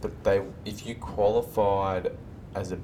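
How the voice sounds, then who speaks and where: A man's voice: fairly even intonation, a little slow, mellow. A man with an Australian accent hosting a casual chat in a laid-back, colloquial way. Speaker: podcast host. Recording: noisy recording with low rumble.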